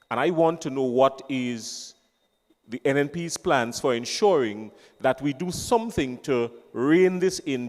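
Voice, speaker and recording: The voice rings out with a long hall reverb around it as a man presses an emphatic question at a public meeting. His pitch sits in an ordinary middle range. He stacks his points one after another, each phrase pushed home with firm repetition. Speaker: audience member asking a question. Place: town hall meeting venue.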